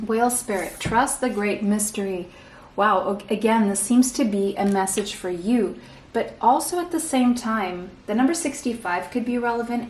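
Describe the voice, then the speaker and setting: A woman from Portugal giving a pick-a-card tarot reading in a soft, reassuring way, often checking in with "okay?" and clearing an irritated throat. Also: on the high side, echoey room, distant mic, low-quality recording. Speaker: tarot reader.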